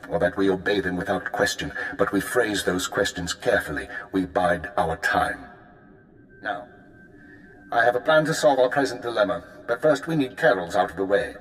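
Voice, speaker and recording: An actor playing a calm spymaster handler briefing an agent in a video game, with a measured, controlled delivery. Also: male voice, on the low side, a little hiss or hum, lightly acted. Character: calm male spymaster handler giving orders. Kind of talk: video game cut-scene acting. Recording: roomy echo.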